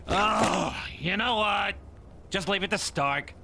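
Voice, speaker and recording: An actor playing a brainy, self-assured superhero inventor in a video game, rattling off technical jargon. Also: male voice, high voice, slow pace, vocal fry, heavy background noise, heavily acted. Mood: brisk, smug. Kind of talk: video game cutscene acting. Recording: loud music behind.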